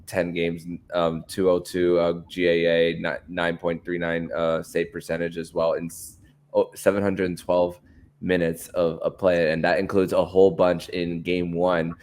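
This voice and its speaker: A man hosting a sports podcast, asking long-winded interview questions in a halting, repetitive way. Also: low-pitched, monotone, darkish tone.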